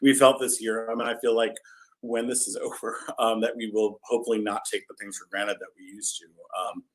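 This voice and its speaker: A man's voice: on the low side, level tone, fairly quick, low-quality recording. A man chatting casually as an interview guest, thinking aloud in a tentative, loosely hedged way. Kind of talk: casual chat.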